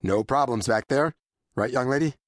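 An actor playing a nervous, friendly police officer in an audiobook, casual and reassuring with a slight awkwardness. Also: male voice, mid-range voice, moderate pace, no room sound, studio quality, lightly acted. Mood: casual checking. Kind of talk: audiobook character acting.

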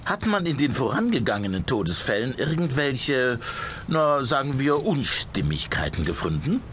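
A man's voice: medium pitch, sing-song, normal speed, fairly bright tone, slight background noise. A male actor playing a shrewd, wry priest who asks probing questions in an audio drama. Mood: inquisitive. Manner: inquisitive, dryly wry. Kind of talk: German audio drama dialogue.

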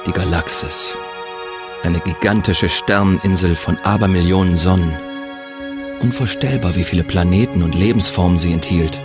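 A thoughtful, kindly male space captain played by an actor with a lowish voice, over clear music. He sounds reflective and measured, like the lead of a sci-fi radio play. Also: natural voice. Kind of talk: scripted German sci-fi audio drama, narration and dialogue.

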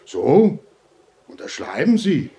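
A man's voice: a little high, sing-song, gravelly, warm tone, exaggerated voice. An actor playing an unctuous, domineering fatherly head of a halfway house, preachy and pompous, in a German audio drama. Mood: reproachful, pious. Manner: preachy, pompous, drawn-out emphatic phrasing.

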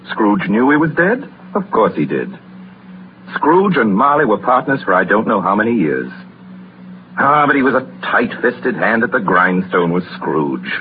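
An actor playing a wry storyteller, narrating deliberately and emphatically in 1930s radio drama style. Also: male voice, low voice. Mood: relishing scorn. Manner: deliberate, emphatic, relishing each word. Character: wry, measured male storyteller of a ghostly tale. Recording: narrow-band, faintly noisy 1930s radio recording.

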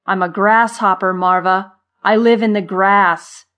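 A woman's voice: deep voice, fairly even intonation, moderate pace, dark tone, close to an everyday voice. A woman voicing a sour, pessimistic male grasshopper in a children's story, grumbling in a snappy, emphatic way. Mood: exasperated.

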